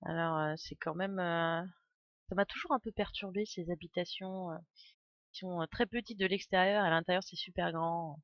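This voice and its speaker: A woman's voice: fairly low pitch, steady tone, normal speed, no room sound, muffled recording. A woman with a cold, thinking aloud as she gives casual live game commentary with chatty asides to viewers. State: has a cold. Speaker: game streamer. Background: faint game music.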